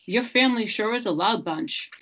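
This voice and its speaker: A woman's voice: medium pitch, normal speed, fairly bright tone, a little hiss or hum, close to an everyday voice, poor audio. A woman playing a blunt, dismissive young girlfriend in a drama script read. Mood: curious, teasing. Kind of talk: acted dialogue in a cold script read. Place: indoors on a group video call.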